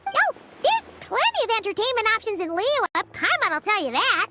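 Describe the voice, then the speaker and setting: Tiny flying game sidekick: very high, squeaky, chirpy and lively, with music behind. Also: female voice, normal speed. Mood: chatty, explaining. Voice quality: squeaky, childlike. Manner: refers to herself in the third person, chatty. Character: small childlike flying fairy companion, chirpy and chatty. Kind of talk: video game voice acting.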